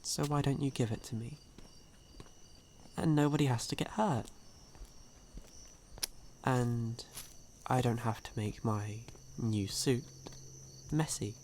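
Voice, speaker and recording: A man playing a suave, sly, hungry male vampire in an ASMR audio roleplay, speaking in a teasing, drawn-out manner. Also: mid-range voice, a little mellow, slight background noise. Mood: menacing, coaxing. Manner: slow, drawn-out, teasing, with breathy chuckles.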